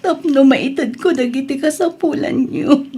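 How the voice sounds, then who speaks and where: A woman's voice: sits high, warm tone, close to an everyday voice. A woman with an Ilocano accent playing a betrayed, grieving wife and mother in an audio drama, lamenting tearfully. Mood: anguished, tearful. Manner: tearful, slow, drawn-out lamenting.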